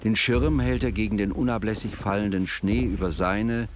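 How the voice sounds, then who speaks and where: A man reading scripted narration for a radio drama in a measured storyteller's manner. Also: fairly low pitch, slight background noise. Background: plucked string music.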